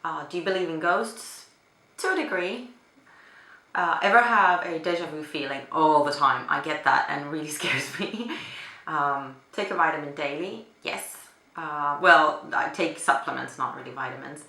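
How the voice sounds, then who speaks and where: A young woman from Finland, answering questions in a relaxed, chatty way at home, with lots of fillers and small laughs. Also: deep voice, normal speed. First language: Finnish. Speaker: vlogger. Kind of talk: casual chat answering a question tag. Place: apartment room. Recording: echoey home room.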